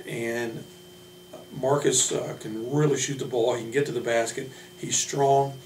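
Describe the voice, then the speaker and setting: A man speaking off the cuff as a college basketball coach, introducing his players in a halting, plain-spoken way. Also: mid-range voice, fairly even intonation, a little mellow. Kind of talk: unscripted team roster introduction. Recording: roomy, distant mic, noisy hum.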